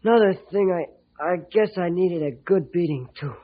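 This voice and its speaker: A man's voice: sits high, moderate pace. An actor playing a contrite, earnest boy who wants friends, talking plainly in an old-time radio drama. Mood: humble, friendly. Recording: dull vintage radio recording.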